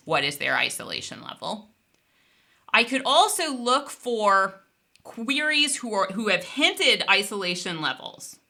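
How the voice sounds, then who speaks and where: A woman instructor explaining a technical software topic in a conversational, informal and emphatic way, as if thinking aloud. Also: lowish voice.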